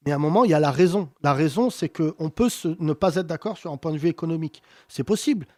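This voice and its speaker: A man hosting a heated political debate, talking with passion and emphasis and wide swings in pitch. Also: fast, darkish tone, no echo, clean studio sound. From France. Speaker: host.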